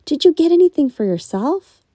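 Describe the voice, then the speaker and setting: A woman's voice: high-pitched, big pitch swings, normal speed, mellow, no room sound, studio quality, voice pushed up. A woman voicing an eager, affectionate grown daughter in a storybook, with an emphatic, exclaiming delivery. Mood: excited.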